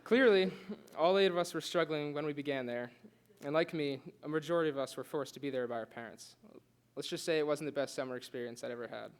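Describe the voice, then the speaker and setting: Young male student, quick delivery, earnest speech with a light stutter. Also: on the high side, clear, resonant tone. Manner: earnest, with occasional stutters and restarts. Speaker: student giving a class speech. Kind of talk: prepared speech read aloud.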